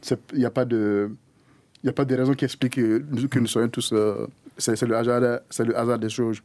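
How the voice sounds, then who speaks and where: A man's voice: medium pitch, flat delivery, a little fast, a little mellow, no room sound. A man from Burkina Faso being interviewed. He explains things in a hesitant way.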